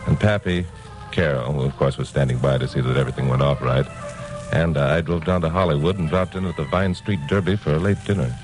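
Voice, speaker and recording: A hardboiled American crime reporter speaks in a very low voice, wry and slangy. It is old-time radio detective drama, with music clearly present in the vintage recording. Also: male voice, fairly quick, poor audio. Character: wry tough male crime reporter narrating his case. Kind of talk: 1940s-50s radio detective acting, hardboiled narration.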